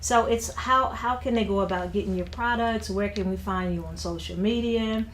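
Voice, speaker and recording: A woman hosts an interview in a mid-range voice. She keeps her guest going with steady affirmations. Faint background noise runs under the talk. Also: mid-range voice, normal speed. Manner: affirming, encouraging listener. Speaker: interviewer, host. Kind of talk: interview chat.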